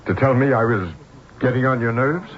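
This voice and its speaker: An actor playing a brisk, jumpy American businessman and husband in an old-time radio drama. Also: mid-range voice, close to an everyday voice. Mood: edgy, strained. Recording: muffled, noisy old radio broadcast.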